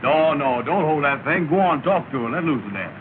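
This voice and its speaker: A man's voice: on the high side, lively intonation, a little fast, lightly acted. An actor playing a bossy, know-it-all male partner who drawls out orders, in 1930s film comedy acting on a tinny, hissy old soundtrack. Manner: ordering others about, drawling.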